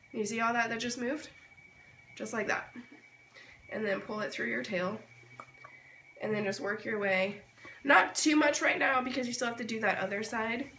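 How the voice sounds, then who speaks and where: Hoarse woman, roomy distant recording. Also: mid-range voice, a bit bright. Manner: informal step-by-step explaining. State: hoarse from being sick. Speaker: babywearing tutorial host. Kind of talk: casual hands-on tutorial. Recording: roomy, distant mic, noisy background.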